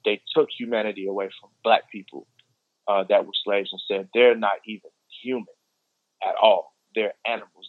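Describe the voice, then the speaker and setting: A man's voice: on the low side, no room sound, narrow, tinny audio. A man with an American accent explaining his views in casual conversation, emphatic and repeating phrases for stress.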